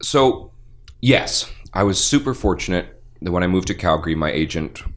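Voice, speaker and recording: A young man with a deep bass-baritone voice, telling stories animatedly as a guest in a casual podcast interview. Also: moderate pace. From Canada. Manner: animated storyteller, acts out quotes. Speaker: voice actor guest.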